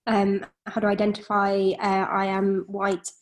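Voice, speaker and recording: A woman's voice: mid-range voice, completely flat delivery, moderate pace. A woman co-founder on a panel, speaking hesitantly with many fillers. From Britain. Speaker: company co-founder, panel guest. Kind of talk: casual chat.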